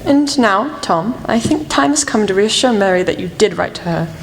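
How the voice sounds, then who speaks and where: A woman playing a shrewd, commanding female detective in a radio play, speaking crisply and formally. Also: medium pitch, animated, moderate pace, slight background noise. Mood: commanding, determined. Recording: reverberant hall, faint music.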